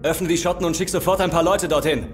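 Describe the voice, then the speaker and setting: Alien commander, male actor: gruff, pragmatic, dry, pitched a bit high, audio drama with light score. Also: fast talker, lightly acted. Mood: irritated. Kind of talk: German audio drama acting. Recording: music behind the voice.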